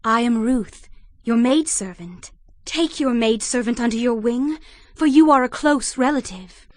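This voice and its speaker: A woman playing a humble, devoted young widow, earnest and gentle, in a dramatized scripture reading. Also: on the high side, measured pace, dry recording, studio quality, natural voice. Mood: humble, pleading.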